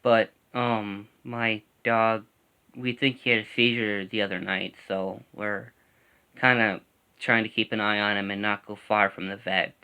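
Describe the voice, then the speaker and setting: A man's voice: on the low side, steady tone, sharp tone, clean tone. Young man with a slight lisp. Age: young adult. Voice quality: slight lisp from a gap in his front teeth. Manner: halting, stammers at times. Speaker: vlogger. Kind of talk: casual chat.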